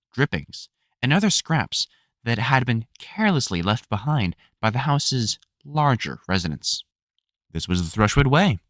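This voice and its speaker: A man reading a whimsical storybook as narrator, in an expressive, measured storytelling manner. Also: mid-range voice, no room sound, clean studio sound.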